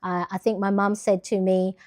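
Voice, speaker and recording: A woman's voice: medium pitch, level tone, moderate pace. A middle-aged woman from the Philippines chatting casually on a livestream, rambling with lots of fillers and restarts. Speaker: planner livestream host.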